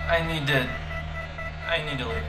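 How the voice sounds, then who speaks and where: A woman playing a hurried, evasive male pirate in a radio drama, brisk and distracted. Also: low voice, lightly acted. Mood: flustered. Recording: roomy sound, music behind.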